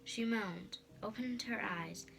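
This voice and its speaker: A woman's voice: medium pitch, takes it slowly, vocal fry, music in the background, poor audio. A female storyteller reading a storybook aloud in a dramatic narrating style.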